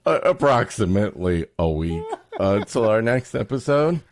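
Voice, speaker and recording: A man's voice: lowish voice, big pitch swings, normal speed, dry recording, studio quality. A man hosting a podcast, trading wry, joking, casual banter. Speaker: podcast host.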